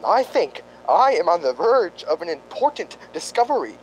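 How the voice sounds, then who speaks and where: A man acting in a radio drama as a grave, self-important doctor of psychic investigation, speaking formally and deliberately. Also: high voice, sing-song, slight background noise, low-quality recording. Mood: grave, intense.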